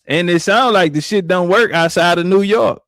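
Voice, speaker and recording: A man talks over a clip in a brash, slangy, mocking way, aiming his remarks at his viewers. His voice sits high for a man and sounds close and dry, with no room around it. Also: normal speed, a bit bright, no echo. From Southern United States. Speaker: reaction commentator. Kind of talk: casual profane reaction commentary.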